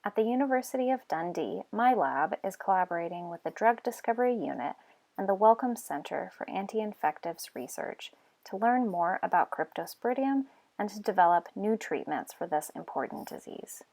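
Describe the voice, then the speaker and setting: A woman in her thirties from Texas with an American accent, telling her own story as a researcher in steady, measured scripted narration. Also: mid-range voice. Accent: American, Texan. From Texas, United States. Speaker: lab group leader, researcher.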